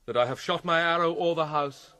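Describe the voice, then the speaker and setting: An actor playing a troubled, witty and melancholy young prince in a classical Shakespearean radio drama, speaking theatrically with a quick mind. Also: male voice, a little high, pushed and bright, clear, resonant tone, no room sound. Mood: earnest, declamatory. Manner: theatrical, quick-witted, shifting between dry mockery and reflection.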